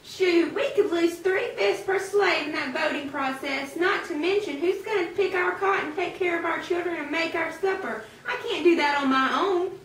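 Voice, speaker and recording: Woman playing an entitled slaveholder's wife, high-pitched. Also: moderate pace, hardly any pauses, a bit bright. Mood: worried, scornful. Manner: complaining, scornful. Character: anxious Southern slave owner's wife, entitled. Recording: roomy, distant, noisy amateur recording.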